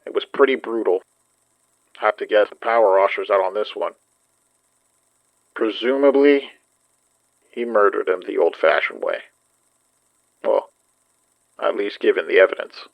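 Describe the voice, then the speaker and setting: Weary, grim male friend, low gravelly voice, for naturalistic audio drama; dry, slightly dull sound. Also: a little slow, pauses often, dry recording. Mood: grim, matter-of-fact. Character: weary, grim man worried for a secretive friend.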